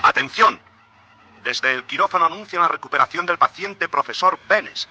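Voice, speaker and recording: A piercing announcer, muffled recording. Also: male voice, on the high side, normal speed, sharp tone. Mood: urgent announcing. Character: urgent male operating-room announcer. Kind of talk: vintage Spanish radio drama acting. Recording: dull, lightly noisy radio recording.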